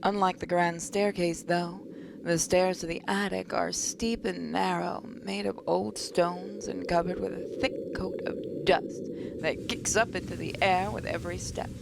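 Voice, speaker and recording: A woman hosting a gaming video, reading a visual novel aloud dramatically with playful, expressive asides. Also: lowish voice, unhurried, vocal fry, noisy background.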